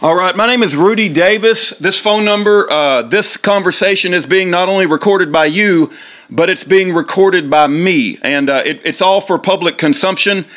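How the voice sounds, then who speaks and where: High-pitched stern man. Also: moderate pace, a bit bright. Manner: stern, accusatory, emphatic. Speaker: caller leaving a voicemail. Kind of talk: formal complaint left by voicemail.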